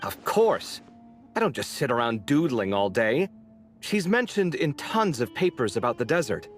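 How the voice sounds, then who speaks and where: An actor playing a sardonic, educated young male scholar in a video game, expressive and a little huffy. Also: medium pitch, moderate pace, faint music behind, close to an everyday voice. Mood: indignant, explaining. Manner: sarcastic, expressive, slightly huffy.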